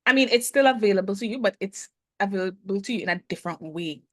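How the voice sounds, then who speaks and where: A woman's voice: medium pitch, a bit bright, no echo. Woman guest, medium voice, thoughtful and hesitant, dry recording. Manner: thoughtful, hesitant, many "um" pauses. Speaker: business consultant, interview guest. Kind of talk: casual conversational interview.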